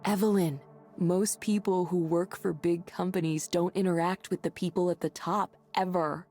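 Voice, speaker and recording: Friendly, eager woman, slightly low voice. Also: fairly even intonation, normal speed, mellow, soft background music. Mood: earnest. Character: friendly, eager, admiring woman, counseling center manager. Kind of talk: visual novel voice acting.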